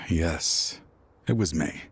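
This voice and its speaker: An actor playing a weary, courteous older male scholar in a video game, speaking in a measured, formal way. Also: rumbling low, slight background noise, full character voice. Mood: resigned.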